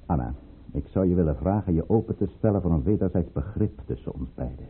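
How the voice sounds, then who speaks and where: A man's voice: low voice, expressive, quick pace, husky, heavily acted. An actor playing a calculating, commanding statesman in a radio play, speaking formally and theatrically. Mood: guarded, stern. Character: calculating, commanding statesman with secrets, in a troubled love affair. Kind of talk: Dutch radio play acting. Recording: muffled, phone-like old radio play recording.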